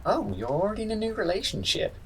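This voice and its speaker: A candid male friend in an audio drama, his intonation very animated, over faint background noise. He sounds concerned and speaks frankly, as a close coworker would. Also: high voice, quick pace, poor audio. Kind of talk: audio horror drama acting.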